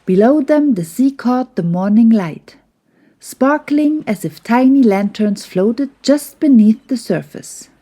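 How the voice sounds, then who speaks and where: Slow, mellow woman telling a bedtime story. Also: a little high, lively intonation, slightly rough. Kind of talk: gentle scripted bedtime story narration for children.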